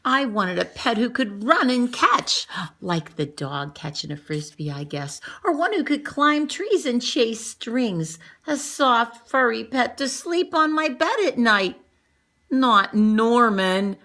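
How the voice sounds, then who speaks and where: A woman reads a picture book to young children in an expressive, teacherly way. Her pitch swoops with the story and her tone is bright, with a gravelly creak at times. She acts out moments and adds comments on the pictures. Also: slow.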